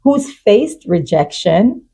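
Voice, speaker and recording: Lady podcast host, dark soft-edged voice w some husk, chatty interview style, super encouraging. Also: medium pitch, big pitch swings, slow, vocal fry, slightly rough. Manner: encouraging, affirming. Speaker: talk show host.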